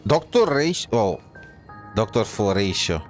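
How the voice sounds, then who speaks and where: A man's voice: fairly low pitch, very animated, unhurried. A man giving casual, reactive commentary as a game streamer. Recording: faint game music behind the voice.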